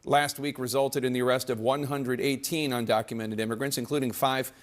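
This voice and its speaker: A man's voice: mid-range voice, steady tone, fairly quick. A man reading scripted news narration as a reporter.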